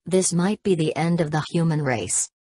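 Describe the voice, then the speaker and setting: A woman's voice: low-pitched, moderate pace, warm tone, husky, no echo. A woman voicing a formal emergency alert announcer in a scripted broadcast, with a stilted, synthetic-sounding delivery. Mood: formal, grave.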